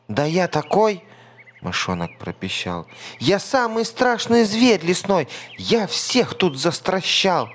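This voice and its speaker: A man voicing a small, boastful, clever mouse in a high, squeaky voice. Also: a little hiss or hum.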